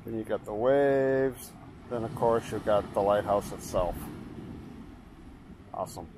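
Middle-aged man photographer, relaxed vlog chatter, outdoors by the surf, audible wave noise behind. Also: lowish voice, unhurried. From Portland, Maine, USA. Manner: relaxed, explaining as he works, many fillers and restarts. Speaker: landscape photographer. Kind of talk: casual vlog narration while shooting. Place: rocky beach outdoors at dawn, waves behind. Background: surf and ambient noise.

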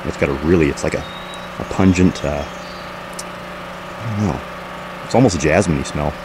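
A man's voice: a bass voice, normal speed. A man giving a casual, unscripted walk-through commentary outdoors, informally pointing things out as a vlog host. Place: outdoors in a backyard garden. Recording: outdoor camera audio with clear background noise.

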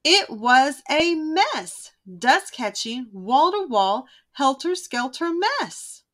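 A woman narrating a children's storybook aloud in an expressive, emphatic way. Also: sits high, slow.

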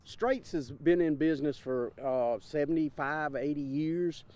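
A man in his fifties with a mellow, slightly high voice gives an informal interview as an event organizer. He is recorded outdoors in an open field. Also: a little slow. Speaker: fair board vice-president.

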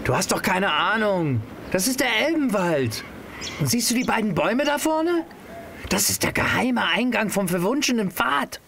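A cheeky, always hungry boy adventurer acted by a man in an audio drama. The voice is high and youthful, with a teasing, defensive manner. There is noise behind it. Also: very animated, normal speed, creaky, a bit bright, exaggerated voice. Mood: playful.

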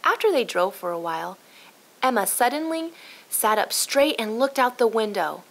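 A woman reads a children's storybook aloud as narrator, expressively and with clear articulation. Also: fairly high pitch, big pitch swings, moderate pace, rough recording.

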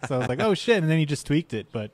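A man chatting on a podcast as a band guest, telling stories in a lively way and acting out what people said, at a desk mic in a small studio. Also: on the high side, racing, no echo. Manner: animated storytelling, acts out quotes. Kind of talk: casual podcast chat. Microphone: desk mic with headphones.

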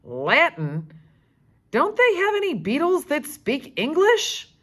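A woman voicing an excitable, bossy, dramatic young schoolgirl in a storybook, emphatic and exclaiming. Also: high voice, takes it slowly, voice pushed up, full character voice. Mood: excited, indignant.

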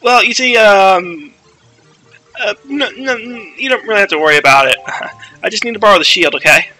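A man's voice: high voice, expressive, normal speed, sharp tone, faint music behind, heavily acted, poor audio. A man voicing an anxious boy hero from a video game, boastful yet easily frightened, whiny and nervous.

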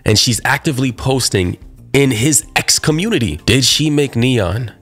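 A man's voice: mid-range voice, lively intonation, normal speed, a bit bright, soft background music. Congested young man ranting about news. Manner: blunt, rambling, opinionated. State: congested from a cold. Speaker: commentary YouTuber. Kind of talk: reaction commentary.

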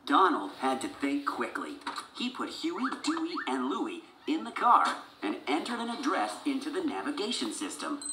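A man's voice: mid-range voice, wildly swooping pitch, moderate pace, roomy sound, over music. A man narrating a children's storybook from a script.

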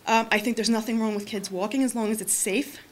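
A woman's voice: a little high, steady tone, fast, a little hiss or hum. A woman in her forties giving public comment at a school board meeting from a podium microphone in a large meeting room, earnest and full of restarts. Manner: earnest, many fillers and restarts.

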